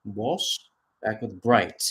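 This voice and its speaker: A man's voice: on the low side, slow pace, a bit bright. Man calling soccer play-by-play. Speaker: play-by-play commentator. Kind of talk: live radio-style soccer commentary.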